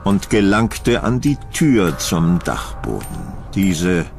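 A man narrating a scripted horror audio drama in a suspenseful, deliberate storytelling manner. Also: low-pitched, very animated, creaky, darkish tone, faint music behind.

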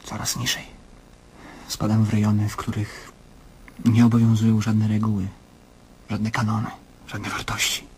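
A man's voice: fairly low pitch, monotone, normal speed, long pauses, darkish tone, natural voice. Man playing an anguished patient, noisy background. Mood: lost, sinking. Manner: plaintive, questioning, weary. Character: anguished, searching male patient craving truth and meaning. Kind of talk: radio drama acting.

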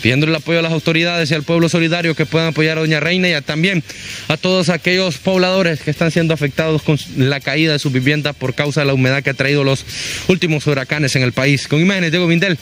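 A man's voice: fairly high pitch, steady tone, quick pace, a bit bright, soft background music. A young man with a Honduran accent reporting live from the field and interviewing, speaking into a handheld microphone through a face mask. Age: young adult. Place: outdoors at a house in a neighborhood.

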